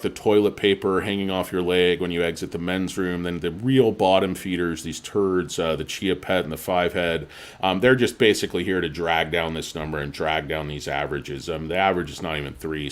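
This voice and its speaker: A man hosting a stock livestream, ranting in a crude, insulting and sarcastic way, and sounding tired. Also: low-pitched. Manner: sarcastic and mocking. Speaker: livestream host.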